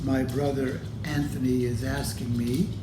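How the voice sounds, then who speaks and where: A man reading a children's storybook aloud in a deliberate, expressive way, addressing young listeners. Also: medium pitch. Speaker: storybook reader. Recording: echoey room with clear background noise.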